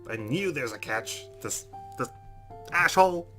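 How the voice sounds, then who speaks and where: A man doing a let's play, reading a game's narration aloud in an expressive, measured, dramatic way. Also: mid-range voice. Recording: game music under the voice.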